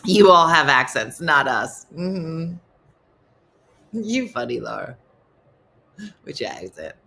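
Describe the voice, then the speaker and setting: A woman hosting a casual comedic morning show, chatting in an animated way with quick, exclaiming asides. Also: low voice, creaky.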